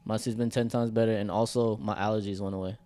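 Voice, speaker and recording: Low, flat-voiced young man chatting casually. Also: fast talker. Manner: loose, profane, slangy storytelling. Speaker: podcast co-host. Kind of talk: casual chat.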